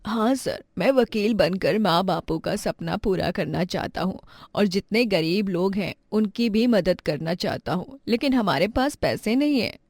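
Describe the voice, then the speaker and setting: A woman's voice: mid-range voice, lively intonation, unhurried, few pauses, a little mellow, dry recording, clean studio sound. A woman voicing a poor, selfless schoolboy in a storybook tale, polite and earnest in manner. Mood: hopeful. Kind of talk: storybook character acting.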